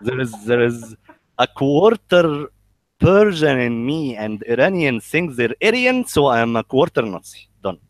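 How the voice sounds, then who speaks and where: A man's voice: medium pitch, big pitch swings, dry recording. A man with an Egyptian accent chatting casually and bluntly, swearing and joking, often stammering over the starts of his words. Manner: halting, stammering with repeated word starts. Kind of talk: casual conversation.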